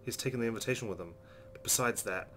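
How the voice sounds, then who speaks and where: A man's voice: mid-range voice, fast talker, a little hiss or hum. A man hosting a let's play, reading visual novel narration aloud expressively and adding his own commentary. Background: soft game music.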